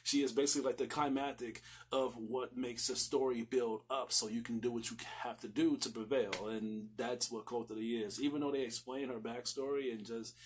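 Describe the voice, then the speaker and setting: Male reviewer, mid-pitched, informal and profane, emphatic rambling delivery, faint noise and hum behind. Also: fairly even intonation, normal speed, few pauses. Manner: emphatic, rambling, repeats himself for stress. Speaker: anime series reviewer. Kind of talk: casual, profanity-laced review commentary.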